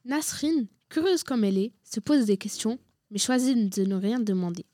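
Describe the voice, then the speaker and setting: A woman's voice: on the high side, lively intonation, normal speed, dark tone, no echo, studio quality. A woman reading scripted narration for a radio drama.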